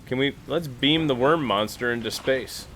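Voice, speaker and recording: Male tabletop player, middle register, thinks aloud in stops and starts over noticeable rumbling noise. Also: moderate pace. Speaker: player in a tabletop roleplaying game. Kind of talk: casual, animated tabletop game chat.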